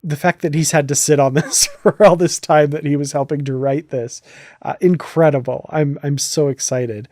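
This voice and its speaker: A man hosting a podcast, speaking in an effusive, excitable and enthusiastic way. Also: fairly high pitch, moderate pace, no echo, clean studio sound. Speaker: podcast host. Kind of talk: enthusiastic casual podcast discussion.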